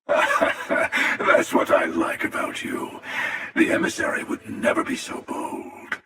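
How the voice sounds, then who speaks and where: A man voicing a jaded, menacing, world-weary older space hunter for a video game, with a gravelly, sardonic and deliberate delivery. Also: pushed and bright. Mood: wry, amused. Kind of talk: video game character voice lines. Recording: cavernous echo and long reverb, distant.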